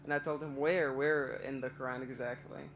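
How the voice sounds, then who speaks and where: A man's voice: mid-range voice, level tone, fairly quick, fairly bright tone, a little hiss or hum. A young man from Iraq calling in to a live stream, telling his story hesitantly with many fillers and restarts. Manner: nervous, admits stage fright. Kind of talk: casual personal story.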